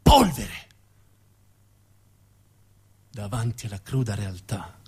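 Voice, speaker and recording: A man's voice: lowish voice, big pitch swings, slow pace, a little mellow, slightly rough, pitched below the performer's own voice, exaggerated voice. A man playing an arrogant, cynical businessman scarred by war, in a dramatic, commanding stage monologue. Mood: agitated, disillusioned.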